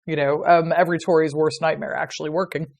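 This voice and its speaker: A woman's voice: low voice, level tone, fast talker, vocal fry, a little mellow. Sardonic Irish woman laughing as she talks. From Ireland. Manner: sardonic and sweary, laughing often. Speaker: podcast guest, medieval historian. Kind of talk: casual podcast chat.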